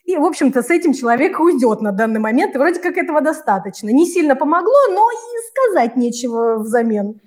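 A woman's voice: high voice, sing-song, a little fast, few pauses, a little mellow. A woman from the far north, talking fluently as an interview guest and explaining things with little quoted examples. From Murmansk region, Russian far north. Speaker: interview guest, Russian language teacher living in Tanzania. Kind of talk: conversational interview answers, explaining and storytelling.

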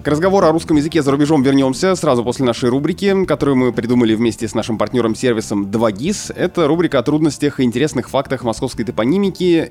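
A man presents a segment quickly and upbeat, his voice in the middle range. Faint music runs underneath his voice. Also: medium pitch, darkish tone. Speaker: podcast host. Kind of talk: reading a sponsored segment intro.

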